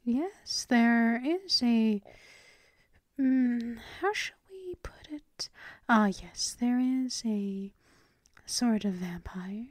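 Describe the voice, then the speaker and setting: A woman playing a seductive, dominant female vampire mistress in an ASMR roleplay, mostly in a breathy, purring whisper, teasing and possessive. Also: fairly high pitch, no room sound, studio quality. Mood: flattering, seductive. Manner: slow, purring, lingering with hums.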